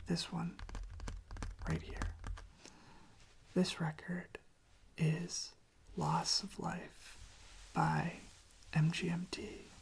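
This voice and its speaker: ASMR creator needed: slow, soft whispering with heavy vocal fry, even delivery, close on the mic. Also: mid-range voice. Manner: slow, hushed, repeats phrases gently. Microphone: close microphone propped on a desk.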